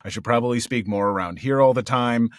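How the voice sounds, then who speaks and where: A male voice actor chatting in an interview. He is cheerful and excitable, lifts his voice into a higher register with a gravelly undertone, and laughs often. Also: brisk. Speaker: voice actor guest. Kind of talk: casual interview chat. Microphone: headphones and a home recording mic.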